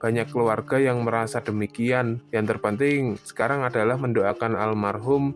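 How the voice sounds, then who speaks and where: Man playing a calm, formal cartoon doctor. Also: mid-range voice, soft background music, lightly acted. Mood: sympathetic, solemn. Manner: formal, measured. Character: calm, sympathetic male hospital doctor. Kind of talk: animated horror drama dubbing.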